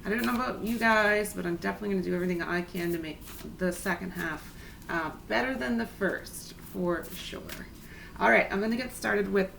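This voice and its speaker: Woman, tarot reader, hoarse and raspy today; easygoing, gentle delivery over audible background noise. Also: medium pitch, brisk. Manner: soothing, chatty, addresses viewers as guys. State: raspy, clearing throat. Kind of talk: casual spiritual reading.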